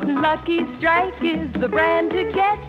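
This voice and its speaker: A woman's voice: very high-pitched, fairly even intonation, unhurried. A woman singing a 1950s radio advertising jingle. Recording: dull vintage radio, loud music.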